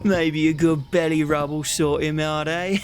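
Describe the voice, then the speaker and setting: A man's voice: fairly high pitch, little pitch movement, measured pace, faint music behind, lightly acted. A man playing a jovial city gate guard in a fantasy ASMR roleplay, with a folksy, teasing manner. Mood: teasing, amused.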